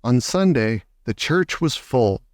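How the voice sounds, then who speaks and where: A man narrating a children's story from a script in a measured, clearly worded way. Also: mid-range voice, animated, no echo, studio quality. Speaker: audiobook narrator.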